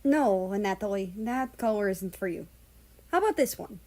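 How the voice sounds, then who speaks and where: A woman reading the part of a man who gently fusses over a pet cat in a story. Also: mid-range voice, lively intonation, normal speed, lightly acted. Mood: fond, coaxing.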